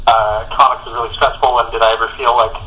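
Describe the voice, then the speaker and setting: A man's voice: mid-range voice, little pitch movement, quick pace, sharp tone, low-quality recording. A man, a comic book artist, answering interview questions reflectively, searching for his words.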